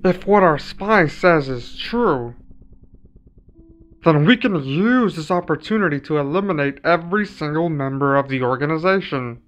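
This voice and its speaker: A man's voice: a little high, lively intonation, normal speed, lightly acted. A man playing a scheming villain lieutenant in an audio roleplay, polite and persuasive toward his master. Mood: eager persuasion. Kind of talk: roleplay ASMR villain acting. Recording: slightly dull sound, music behind.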